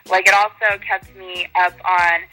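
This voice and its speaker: A woman's voice: lowish voice, little pitch movement, measured pace, bright, forceful tone, soft background music, poor audio. A young woman DJ answering interview questions in a chatty, upbeat way with lots of fillers. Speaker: guest DJ.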